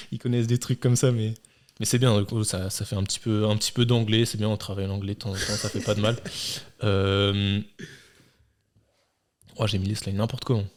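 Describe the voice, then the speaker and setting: A man's voice: lowish voice, level tone, moderate pace. A man hosting a casual quiz segment, talking with many hesitations and fillers. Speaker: quiz host. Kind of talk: casual chat.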